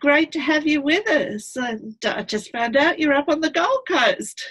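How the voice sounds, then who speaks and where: A woman's voice: high voice, lively intonation, a little slow, fairly bright tone. A woman hosting an interview, upbeat in her introductions and filling her questions with hesitant "uh"s. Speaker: interview host. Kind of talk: hosted interview.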